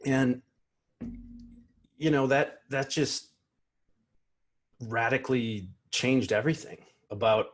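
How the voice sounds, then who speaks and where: A man in his thirties who stutters gives a personal keynote talk over a video call from a small room at home, slow, earnest and reflective, often restarting his words. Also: mid-range voice. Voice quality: stutters, with repeated sounds and blocks. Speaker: keynote speaker. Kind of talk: personal talk at an online convention. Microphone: earphone mic on a video call.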